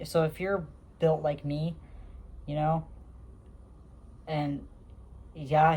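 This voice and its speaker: A man's voice: a little high, a little mellow, a little hiss or hum. A young man talking casually to his viewers, rambling with many fillers and restarts. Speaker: vlogger. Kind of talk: casual talk to camera.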